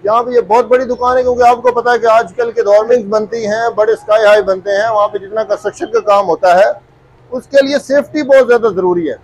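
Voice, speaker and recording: A man's voice: high voice, fairly even intonation, fast, low-quality recording. A young man, hosting a shop walkthrough video in a friendly, upbeat way, on a lapel mic in a large store. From Pakistan. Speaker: host. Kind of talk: vlog walkthrough interview. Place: large hardware shop, indoors.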